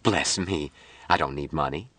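A man as a soft, solemn, motherly devout lady. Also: very deep voice, moderate pace, no echo. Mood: gently refusing. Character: kindly, devout middle-aged tattooed lady, motherly and earnest.

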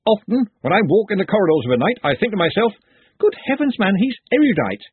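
A man's voice: high voice, sing-song, fast talker, breathy edge, phone-quality sound, pitched above the performer's own voice, heavily acted. A man voicing a stubborn, emphatic male workman. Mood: insistent. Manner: emphatic and earnest. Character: burly, stubborn male candle dribbler.

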